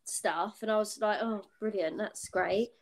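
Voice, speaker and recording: A woman's voice: mid-range voice, steady tone, a little slow. A British woman in her twenties, co-hosting a casual podcast chat, rambling and chatty with lots of fillers. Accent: British English. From United Kingdom. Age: 20s. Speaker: podcast co-host.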